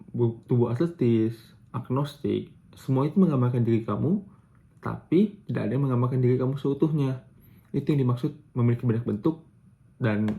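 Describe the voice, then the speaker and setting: A young man giving a casual explanatory lesson, pausing with fillers and correcting himself as he goes. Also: medium pitch, warm tone. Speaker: student presenter.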